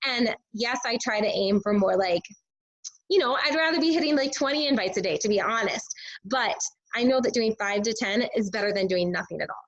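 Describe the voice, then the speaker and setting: A woman, a teacher and fitness coach, sharing casual tips in a chatty way, full of filler words, her voice a bit hoarse and scratchy. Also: fairly high pitch, a little fast. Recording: dull lo-fi recording.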